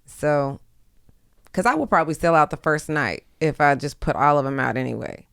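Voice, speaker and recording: A woman's voice: sits low, moderate pace, gravelly, fairly bright tone, smooth, no echo, studio quality. A woman chatting casually as a podcast guest, blunt and teasing. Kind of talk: casual chat.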